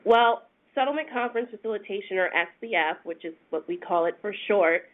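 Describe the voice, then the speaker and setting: A woman with a stuffy, congested voice presents a webinar, explaining things step by step. She pauses often with small fillers. The sound comes through a tinny phone-line connection. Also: medium pitch. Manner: measured, explanatory, with frequent "um"s. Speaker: senior attorney presenting a webinar. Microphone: phone conference line.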